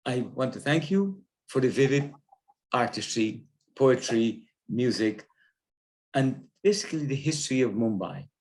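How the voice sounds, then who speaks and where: Male audience commenter from Guyana, mid-pitched, formal, speaking in short separated phrases. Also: expressive, measured pace, a little mellow. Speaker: audience member giving comments. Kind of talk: formal, appreciative remarks.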